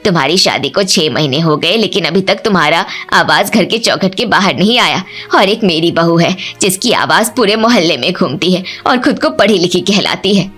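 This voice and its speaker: A woman's voice: fairly low pitch, animated, moderate pace, few pauses, a bit bright, slightly rough, faint music behind. A woman voicing an opinionated, complaining older mother-in-law in a cartoon family story. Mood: judgemental, approving. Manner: grumbling, opinionated.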